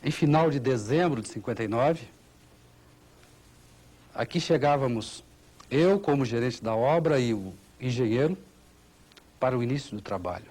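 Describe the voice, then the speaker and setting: A Brazilian man delivering a formal documentary recollection, solemn and rhetorical, in short measured phrases. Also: medium pitch, expressive, a little mellow. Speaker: engineering manager giving testimony.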